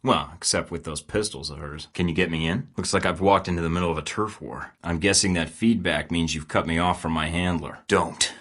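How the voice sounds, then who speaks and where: An actor voicing a confident young American secret agent in a video game, with a wry, cocky way of delivering dry one-liners. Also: male voice, low voice, a little fast, few pauses, a bit bright. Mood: teasing. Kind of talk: game voice acting.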